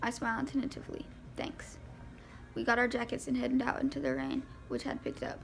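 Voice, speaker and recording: A woman reads a novel aloud in an informal, amateur way, tripping over words here and there. Her pitch is a little high and moves around quite freely, with a heavy creak running through it. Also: sing-song, quick pace, soft background music. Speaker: amateur audiobook reader. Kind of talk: reading a first-person novel aloud.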